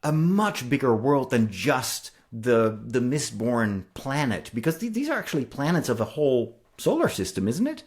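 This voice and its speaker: A man's voice: mid-range voice, very animated, moderate pace, few pauses, darkish tone. A man co-hosting an enthusiastic podcast discussion about books, explaining things in an effusive way. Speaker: co-host and writer.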